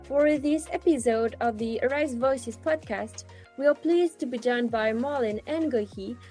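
A woman hosting a podcast, reading a smooth scripted introduction and questions. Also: on the high side, a little mellow. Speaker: podcast host.

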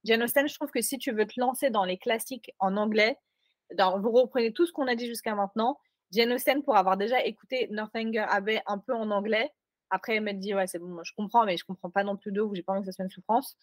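A woman's voice: mid-range voice, fast talker, no echo. A woman co-hosting a casual book podcast, recorded remotely, talking in an emphatic, joking, slangy way. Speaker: podcast co-host. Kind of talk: casual chat.

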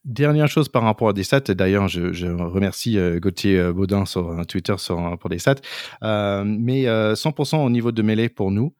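Low-voiced man, non-native French speaker, dry sound. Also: normal speed, darkish tone, no echo. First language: not French. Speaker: rugby podcast co-host. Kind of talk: casual chat, sports discussion.

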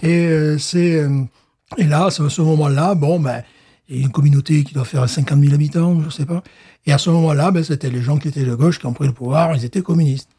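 A man from southern France co-hosting a casual podcast, joking around and tossing in quick asides. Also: fairly high pitch, steady tone, warm tone, slightly rough. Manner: jokey, quick asides, playful accent imitations. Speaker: podcast co-host. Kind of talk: casual chat.

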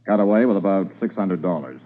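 A man playing a measured, courteous, authoritative senior police inspector in a 1950s radio drama. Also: deep voice, expressive. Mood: grave, instructing. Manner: deliberate, commanding but courteous. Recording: tinny 1950s radio recording.

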